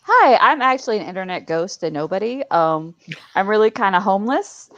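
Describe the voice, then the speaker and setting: A woman's voice: medium pitch, big pitch swings, brisk, fairly bright tone, no echo. A woman chatting casually as a panelist in a self-deprecating way, on dull online-call audio.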